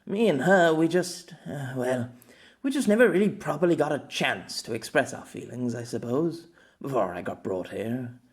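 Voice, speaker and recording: A man's voice: medium pitch, lively intonation, normal speed, lightly acted. A man voicing an earnest, reserved man who speaks hesitantly and reflectively in an audiobook. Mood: earnest, confessional. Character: earnest, wistful, reserved man confiding his feelings. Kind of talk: amateur audiobook character dialogue.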